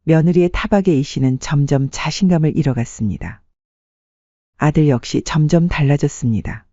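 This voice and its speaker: Soft-toned male narrator, higher-pitched voice. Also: normal speed, no room sound, muffled recording. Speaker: story narrator. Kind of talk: scripted audiobook narration of a family story.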